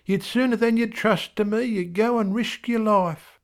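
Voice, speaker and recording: A man's voice: sits high, moderate pace, vocal fry, voice pushed up. A man voicing a firm, motherly young wife, scolding and fussing over her sick husband.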